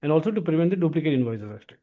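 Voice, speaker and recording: A man with an Indian accent explaining software as an instructor, often tagging phrases with "actually". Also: fairly high pitch, big pitch swings, very fast, narrow, tinny audio. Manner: repeatedly tags phrases with "actually". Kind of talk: explanatory software training lesson.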